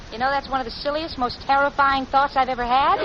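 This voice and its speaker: An actress with an American accent playing a sensible, sarcastic young woman in a 1940s radio sitcom, wry and exasperated. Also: high voice. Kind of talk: 1940s radio sitcom acting, narration and dialogue. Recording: muffled 1940s radio recording, studio audience, hiss.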